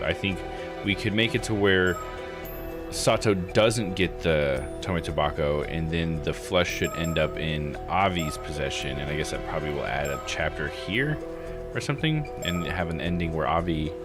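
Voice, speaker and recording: Male game streamer, lowish voice, musing and tentative, talking over game music. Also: animated, normal speed. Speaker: streamer playing a visual novel. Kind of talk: casual game commentary, thinking aloud.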